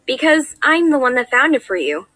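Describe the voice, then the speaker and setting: A woman voicing a composed, gently teasing pony princess in a cartoon, speaking in a poised, wry way. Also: high voice, big pitch swings, moderate pace, dry recording, exaggerated voice. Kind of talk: fan audio drama acting.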